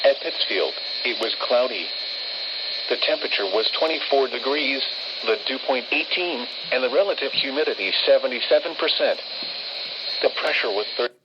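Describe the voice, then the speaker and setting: A man's voice: mid-range voice, animated, moderate pace. A synthetic man's voice reading a scripted weather bulletin over the radio in a formal, impersonal, segmented delivery. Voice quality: synthetic text-to-speech voice. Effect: radio broadcast. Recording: dull, very noisy weather radio reception.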